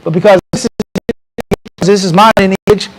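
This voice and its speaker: A man in his thirties with an American accent, giving an animated, conversational lecture in a mosque and reciting Arabic passages. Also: high-pitched, normal speed, music in the background, poor audio. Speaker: mufti teaching a class. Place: small mosque room with bookshelves. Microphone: handheld mic close to mouth.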